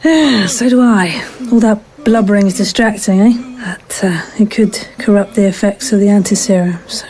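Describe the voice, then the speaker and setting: A woman acting callous, mellow-voiced female scientist. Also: medium pitch, natural voice, low-quality recording. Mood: cool, dismissive. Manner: halting, mocking asides with tag questions. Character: callous, driven female scientist, sardonic and ruthless. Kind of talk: audio drama acting.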